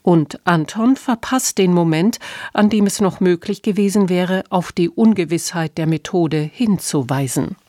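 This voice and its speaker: A woman's voice: on the low side, moderate pace, warm tone, no room sound, natural voice. A woman reading scripted literary narration in a composed, matter-of-fact way. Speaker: announcer of the story so far.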